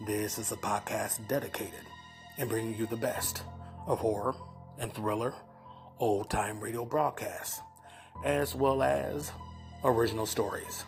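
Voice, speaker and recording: A man hosting a podcast, introducing old radio plays with dramatic pauses between short phrases. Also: fairly low pitch, steady tone, gravelly. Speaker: podcast host. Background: music behind the voice.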